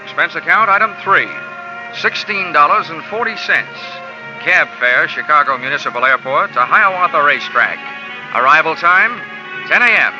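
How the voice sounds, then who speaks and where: A man's voice: on the high side, expressive, moderate pace, close to an everyday voice. An actor playing a wisecracking male insurance investigator who also narrates, dry and matter-of-fact. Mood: wry. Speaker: narrator and lead. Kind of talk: 1950s radio drama acting and narration. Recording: lo-fi old radio broadcast with music.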